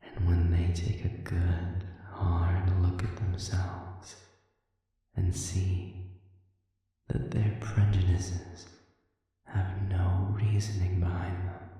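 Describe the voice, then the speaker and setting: A performer voicing a sadistic, manipulative demon in a scripted audio roleplay, silkily taunting with slow, deliberate pauses and breathy near-whispers. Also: deep voice, completely flat delivery. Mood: menacing, measured. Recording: echoing hall reverb, distant dark sound.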